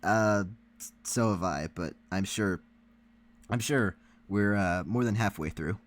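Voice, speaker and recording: A man with a somewhat low voice chats as a reaction co-host. He is easygoing and agreeable, commenting casually with some hesitation. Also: measured pace.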